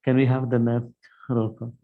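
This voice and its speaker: A man's voice: mid-range voice, flat delivery, moderate pace. A man chairing a board meeting, hesitant, with many fillers and restarts. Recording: dull lo-fi meeting audio.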